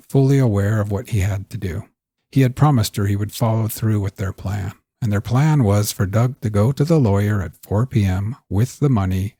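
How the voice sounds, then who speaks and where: Male audiobook-style narrator, dark mellow tone with a husky edge, dramatic scripted storytelling. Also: fairly low pitch, no room sound, studio quality. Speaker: fiction podcast narrator.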